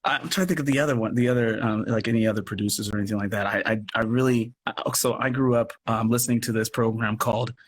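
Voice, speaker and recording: A man's voice: fairly low pitch, steady tone, fast, hardly any pauses, slightly rough. A man chatting casually in a live online interview as a guest radio play producer, given to chuckling. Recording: live stream with background noise.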